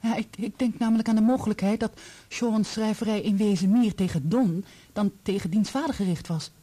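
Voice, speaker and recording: A woman acts out a candid, composed divorcee in a radio play. Her voice is warm and dark, with a breathy huskiness. She speaks deliberately and asks her questions in a measured, probing way. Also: medium pitch, close to an everyday voice. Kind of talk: Dutch radio play acting.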